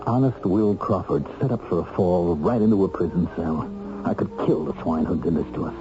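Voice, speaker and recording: Low-voiced, bitter wronged husband. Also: male voice, animated, a little fast. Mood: bitter, angry. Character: bitter, determined wronged bookkeeper, freed convict husband. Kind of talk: radio drama acting. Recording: muffled old radio recording with music.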